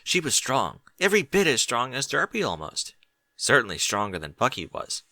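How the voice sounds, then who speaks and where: A man reading a story aloud as expressive scripted narration. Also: medium pitch, moderate pace, dry recording, clean studio sound. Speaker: fan fiction reader.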